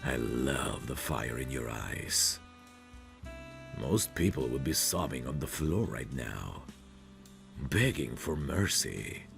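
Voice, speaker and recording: Man playing a very deep, gravelly mafia boss. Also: very animated, over music, full character voice. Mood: amused, admiring. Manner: slow, commanding, smug, teasing endearments. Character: possessive, protective male mafia boss, calmly menacing. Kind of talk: intimate audio roleplay acting.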